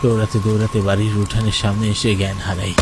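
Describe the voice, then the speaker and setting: A man's voice: lowish voice, flat delivery, fast talker, heavy background noise. A man narrating a first-person ghost story for a cartoon in a dramatic way. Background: music under the voice.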